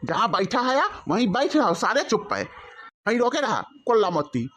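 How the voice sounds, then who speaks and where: A man with an Awadhi accent voicing a grumpy, threatening village father in a comedy cartoon, gruffly scolding and repeating himself. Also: high voice, sing-song, moderate pace, a bit bright, heavily acted. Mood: irritated.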